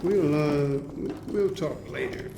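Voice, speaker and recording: An actor playing a troubled older scientist and father in a video game, halting and confiding, gentle but bitter. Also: on the high side, creaky, very noisy. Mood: guarded. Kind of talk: dramatic video game acting. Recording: echoey, distant, under loud game music.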